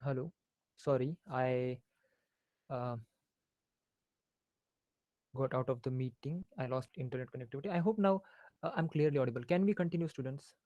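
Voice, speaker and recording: A man's voice: mid-range voice, moderate pace, pauses often, no room sound, narrow, tinny audio. Indian man teaching an online maths class. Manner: hesitant, many fillers, switching into Hindi mid-sentence. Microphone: faulty headphones on an online call, voice low.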